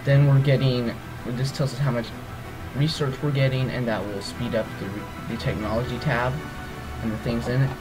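A man's voice: mid-range voice, fairly even intonation, moderate pace, loud music behind the voice, poor audio. A man with a groggy voice walking through a strategy game's menus, hesitant and rambling, full of ums and self-corrections. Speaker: let's play gamer. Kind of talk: casual gameplay walkthrough.

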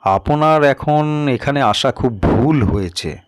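A man's voice: medium pitch, lively intonation, takes it slowly, no echo. A man voicing a weary senior sub-inspector in an audio story, speaking in a grave, resigned, emphatic way. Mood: grave warning.

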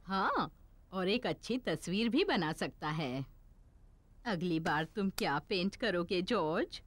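A woman voicing a gentle, encouraging female gazelle teacher in a children's cartoon dub. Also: lowish voice, very animated, slow, dry recording, heavily acted. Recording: cartoon soundtrack with music.

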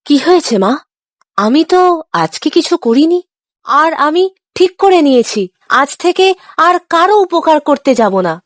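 A woman's voice: sits high, expressive, moderate pace, long pauses, no room sound, studio quality. A woman voicing an earnest, sensitive, clumsy village boy in a moral cartoon, with a childlike manner. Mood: hesitant. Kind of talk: Bengali moral cartoon dialogue.

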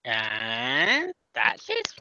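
Lively British man on a lo-fi mic. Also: medium pitch, extremely slow. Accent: British English. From Britain. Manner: animated, joking, talks to the chat. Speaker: livestream co-host. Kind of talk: casual chat.

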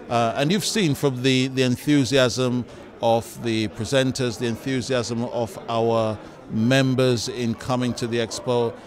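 A middle-aged male pastor giving measured, halting interview answers into a handheld microphone in a large church hall. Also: mid-range voice, a little mellow.

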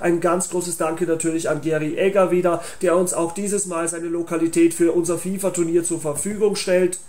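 Young male channel host, high voice, informal promotional announcement. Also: flat delivery, moderate pace, darkish tone. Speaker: YouTube channel host and tournament organiser.

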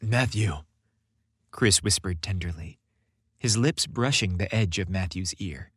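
A man's voice: sits low, unhurried, slightly rough, no room sound, clean studio sound. A man reading a romantic story aloud as a scripted narration.

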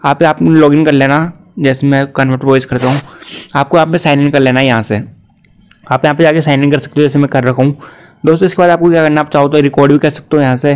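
Fast-talking young man. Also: a little high, darkish tone, clean tone. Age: young adult. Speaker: YouTube tutorial host. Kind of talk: tech tutorial to camera and screen.

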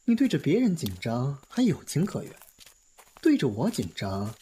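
Man acting a teasing, animated young film star. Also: medium pitch, moderate pace, a little hiss or hum. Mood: playful.

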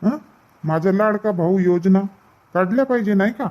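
A man's voice: sits high, moderate pace, lightly acted. Casting: male actor as grumbling cartoon farmhand, rural Vidarbha Marathi accent, drawling village banter, dull lo-fi recording. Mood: complaining, joking. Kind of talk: comedic cartoon dialogue. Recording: dull, muffled lo-fi audio.

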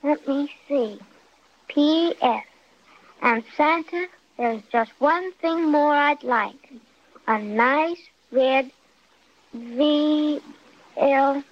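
A woman's voice: high-pitched, extremely slow, long pauses. An actress playing an eager, bossy little girl twin with earnest childish emphasis in 1930s radio drama. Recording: dull, lo-fi 1930s radio recording.

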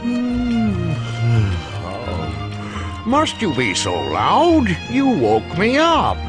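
Sleepy game creature: a grumpy doorknob, extremely drawn-out and widely swinging delivery. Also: male voice, sits high, huge pitch swings, loud music behind the voice, exaggerated voice. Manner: drowsy, drawling. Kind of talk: animated video game acting.

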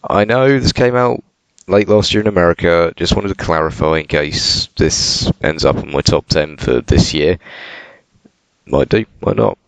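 A man's voice: sits low, normal speed, creaky, no echo, low-quality recording. A British man giving a casual film review, conversational and sardonic, with joking asides. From United Kingdom.

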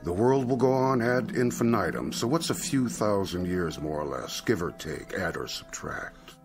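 An actor playing a suave devil who coaxes like a genteel salesman, with drawn-out theatrical phrasing, in a radio drama. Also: deep voice, animated, faint music behind. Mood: persuasive.

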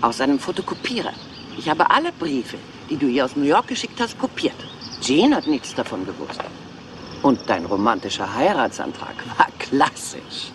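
A woman's voice: a bass voice, normal speed, background noise. A woman playing an aging Hollywood film diva, cool, calculating and taunting, in a dubbed crime series. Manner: cool, taunting, lively intonation. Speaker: film star.

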